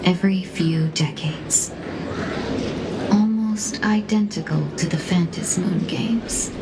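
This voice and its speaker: Game envoy: gracious, unhurried, lowish voice with a softly knowing manner. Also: female voice, loud music behind the voice. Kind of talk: scripted video game dialogue.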